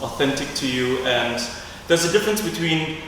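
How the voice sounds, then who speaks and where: A man from Singapore giving deliberate, step-by-step explanations as an acting coach in an interview. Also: medium pitch, lively intonation, pushed and bright. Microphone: distant from the speaker. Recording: very echoey hall, distant mic, faint noise.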